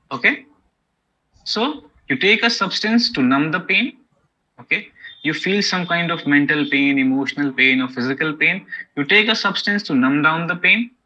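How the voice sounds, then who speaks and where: A man's voice: fairly high pitch, pushed and bright, breathy edge. A man with an Indian English accent giving an academic lecture, explaining step by step with frequent "okay?" and "you know" checks. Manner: explanatory, many okays and you-knows. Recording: dull lo-fi recording.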